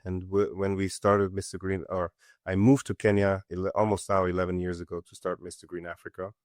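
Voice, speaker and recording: A middle-aged man from Switzerland, a company co-founder, answering interview questions in an earnest, halting way with many fillers. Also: sits low, no echo, clean studio sound. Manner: earnest, many fillers and restarts, steady explanation. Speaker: company co-founder and CEO, guest.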